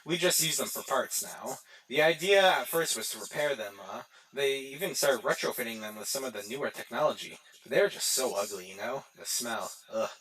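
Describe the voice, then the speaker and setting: A man's voice: medium pitch, brisk, few pauses, a bit bright, slightly rough, poor audio. A pizzeria employee leaving game voicemail messages. He rambles in a casual, nonchalant way, hesitating often. He sounds reassuring while staying evasive. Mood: casual, rambling. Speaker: night-shift phone guide. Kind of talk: voicemail-style game monologue, casual and halting.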